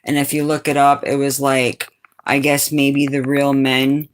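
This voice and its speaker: A middle-aged woman from Brazil with a raspy, fairly low voice, chatting casually with viewers on a livestream and calling them sweetheart and darling. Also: level tone, measured pace. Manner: laughs often, calls viewers sweetheart and darling. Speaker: livestream host. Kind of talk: casual chat answering viewers.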